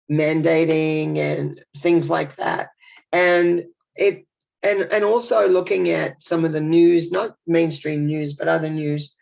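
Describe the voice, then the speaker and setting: An older woman talks through a stuffed-up nose in a chatty, meandering video message. Her voice has a gravelly fry, and the sound is dull and lo-fi. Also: on the low side. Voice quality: nasal. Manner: chatty, warm and reflective, with rambling restarts. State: congested from a head cold. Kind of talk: casual spoken video message.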